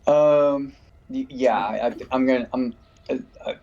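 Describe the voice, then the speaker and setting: A man's voice: medium pitch, fairly even intonation, a little slow. Hesitant man, muffled call audio. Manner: hesitant, thinking aloud. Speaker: player. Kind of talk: casual tabletop play.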